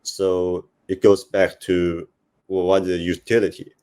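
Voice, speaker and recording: A man with a Taiwanese accent speaking informally on a panel, halting and hesitant, often repeating words. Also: low-pitched, little pitch movement, a little mellow, smooth. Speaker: conference panelist, investor.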